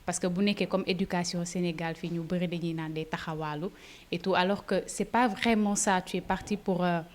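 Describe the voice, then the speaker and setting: A young woman from Senegal, a model, chatting in a relaxed interview with frequent fillers. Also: on the low side, brisk. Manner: chatty, laughs easily, hesitant fillers. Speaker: fashion model, interview guest. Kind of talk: casual interview, mixing Wolof and French.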